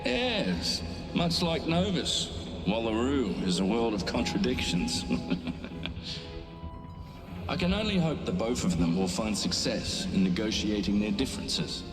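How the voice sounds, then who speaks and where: A man's voice: fairly low pitch, very animated, unhurried, long pauses. A man playing a worldly, reflective mentor in a game, with a wry manner. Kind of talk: scripted game cutscene acting. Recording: echoey hall reverb with music.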